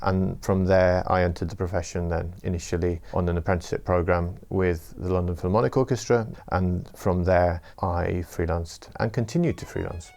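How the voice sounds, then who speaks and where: A middle-aged Welsh man giving an interview, talking in a measured, reflective way with careful wording. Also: low voice, fairly even intonation, creaky, darkish tone. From Wales. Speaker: interviewee, former orchestral violinist turned trader.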